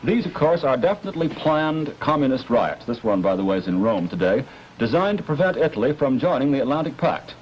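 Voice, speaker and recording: A man's voice: mid-range voice, very animated, a little fast. A man giving informal news commentary with conversational asides, as if talking viewers through film footage. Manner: conversational asides while reporting. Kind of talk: informal live newsreel commentary. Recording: dull vintage broadcast with faint noise.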